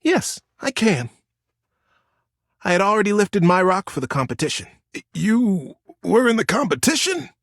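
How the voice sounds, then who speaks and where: A man voicing a huge male shellfish champion in a storybook, frightened and humbled, speaking in a breathy, halting, astonished way. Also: sits high, sing-song, fairly bright tone, dry recording, clean studio sound, voice pushed up, full character voice. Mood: humbled, earnest.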